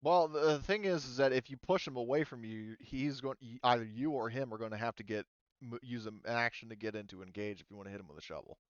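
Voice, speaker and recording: A man with a smooth, clear voice acts as game master, talking players through rules in a casual way. He often thinks aloud as he checks things. The sound is dry, close and a little dull. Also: mid-range voice, quick pace, no room sound. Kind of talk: running a tabletop roleplaying game, casual explanation.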